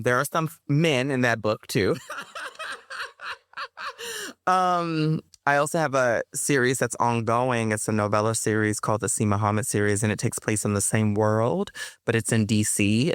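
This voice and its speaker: A woman's voice: extremely low pitch, steady tone, moderate pace, dry recording, studio quality. A woman author and performer answering interview questions in a loose, rambling way, full of ums and restarts, with a playful streak. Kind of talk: casual interview talk.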